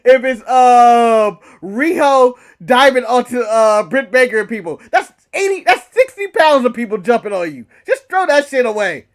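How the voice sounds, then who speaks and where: A man's voice: very high-pitched, sing-song, normal speed, few pauses. A man co-hosting a wrestling podcast, giving blunt, emphatic opinions in slangy casual chat with some swearing.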